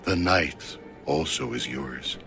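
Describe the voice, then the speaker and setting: A man reading scripture aloud in a solemn, formal, measured and dramatic style, as an audio Bible narrator. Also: extremely low pitch, sharp tone. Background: background music.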